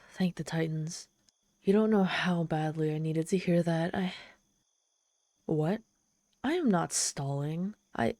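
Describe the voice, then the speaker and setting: Low, mellow, sardonic demon woman, acted out by a woman. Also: dry recording. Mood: reluctant. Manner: exasperated, sardonic, pleading, halting when vulnerable. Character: trapped tsundere female demon, proud, sardonic, desperately lonely. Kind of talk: ASMR roleplay monologue, dramatic storytelling.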